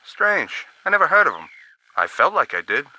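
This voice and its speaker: An actor playing a folksy, easygoing radio operator in a video game, chatty and plain-spoken. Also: male voice, fairly high pitch, sing-song, moderate pace, pushed and bright, no room sound, heavily acted, low-quality recording. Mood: amiable, puzzled. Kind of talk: scripted video game dialogue acting.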